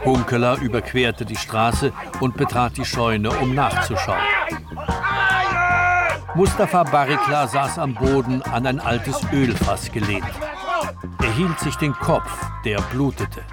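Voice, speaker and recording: A man narrates a radio play in scripted, literary style. His pitch sits in the middle range. Music runs strongly beneath the narration. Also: a little slow.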